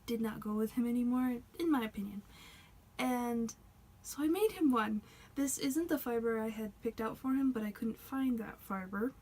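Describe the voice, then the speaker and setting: A woman's voice: fairly high pitch, husky. A woman chatting casually in a vlog, rambling and self-conscious, her voice a bit worn as she is losing it. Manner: rambling, self-conscious, soft-spoken with laughs. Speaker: doll hobby vlogger.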